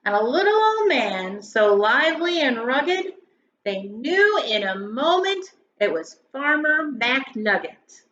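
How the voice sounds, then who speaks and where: A woman with a fairly high voice reads a storybook to schoolchildren in a theatrical, emphatic way. The room is echoey, and the recording is a bit dull with faint noise. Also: sing-song, slow pace, fairly bright tone. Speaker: grade school educator reading aloud to pupils. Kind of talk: expressive children's storybook read-aloud. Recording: roomy echo, dull sound, faint noise.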